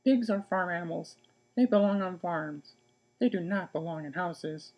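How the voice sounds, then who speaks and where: A man's voice: high-pitched, normal speed, darkish tone, pitched above the performer's own voice, natural voice, poor audio. A man voicing a stern, opinionated older sister in a children's storybook, haughty and scolding.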